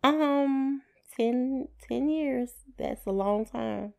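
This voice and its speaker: A woman's voice: high voice, extremely slow, gravelly. A woman chatting in a laid-back, plain way as a podcast co-host. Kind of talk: casual chat with spouse.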